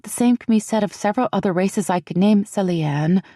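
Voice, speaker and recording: An earnest, steady young woman with a dark, mellow tone, as a woman narrator voices her. She speaks firmly, a little above the narrator's usual pitch. The recording is dry and close. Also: fairly quick, no room sound, natural voice. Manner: earnest and firm.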